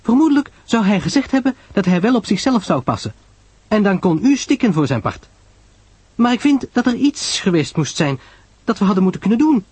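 A man voicing an earnest, questioning, easily astonished woman in an audiobook story, with a husky voice. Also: high-pitched, very animated, a little fast, darkish tone, no echo, voice pushed up, heavily acted. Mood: earnest, reflective. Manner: questioning, insistent, emotional.

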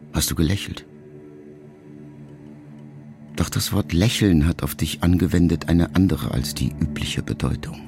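A man in a radio production reading a letter aloud as literary narration, quiet and reflective, with phrase endings that trail off softly. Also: deep voice, vocal fry.

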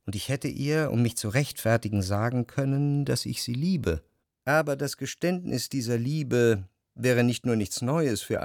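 A man playing a reflective, jealous lover who narrates his memories in measured literary prose for a radio drama. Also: mid-range voice, vocal fry, no room sound, studio quality. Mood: brooding reflection.

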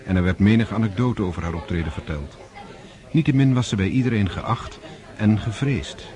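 An actor narrating a radio drama as a measured storyteller of an aristocratic saga. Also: male voice, on the low side, dark tone, some chatter behind, lightly acted.

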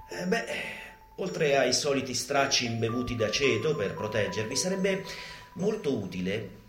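A man delivers an ironic theatrical monologue in a roomy live space, with guitar playing clearly behind him. His pitch swings widely as he stretches out phrases slowly, leaving dramatic pauses for emphasis. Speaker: stage performer. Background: live guitar music.

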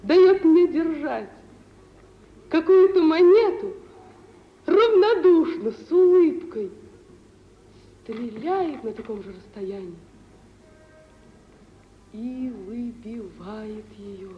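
An actress playing a proud, high-strung young woman in love, theatrical and emotional, in a 1950s Soviet radio drama. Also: animated. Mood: rapt, wistful. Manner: theatrical, emotional, drawn-out delivery. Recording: dull, hissy old radio drama recording.

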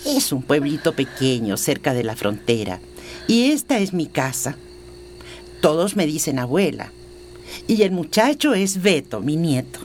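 An actress playing a gentle, protective old village grandmother in a radio drama, speaking in a comforting, affectionate way. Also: low voice, sing-song, a little slow, a little hiss or hum. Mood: soothing. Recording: music behind the voice.

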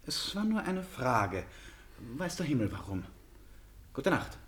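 An actor in a German radio drama playing a nervous, eccentric hotel guest of about thirty, abrupt and effusively polite. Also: male voice, fairly high pitch, big pitch swings, a little slow, a bit bright. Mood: flustered politeness.

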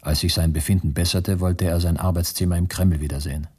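Radio drama role: weary, bitter male political prisoner, dark husky low voice, measured and brooding. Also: fairly even intonation. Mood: disillusioned. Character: weary, disillusioned concentration camp prisoner, communist, writing to his beloved.

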